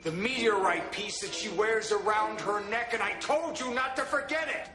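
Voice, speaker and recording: A man acting as an imperious villain tyrant in a live-action film, with a very high, angry voice. He barks commands irritably in a reverberant space, with music clearly behind him. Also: normal speed, close to an everyday voice. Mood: angry, demanding. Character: angry, imperious male villain tyrant scheming to merge dimensions.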